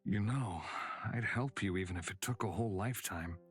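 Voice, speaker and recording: Game companion, a loyal man hardened by battle. Low register, direct, grounded delivery. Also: fairly quick, husky, soft background music. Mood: devoted, bashful. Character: loyal, battle-hardened male companion, once hot-headed. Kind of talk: visual novel game voice acting.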